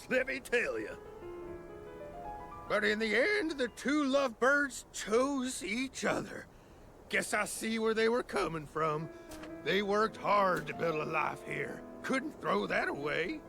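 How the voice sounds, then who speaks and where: A man's voice: high voice, sing-song, takes it slowly, gravelly, full character voice. A man voicing a folksy, easygoing villager storyteller in a video game cutscene, with a drawl. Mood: wistful, proud. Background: background music.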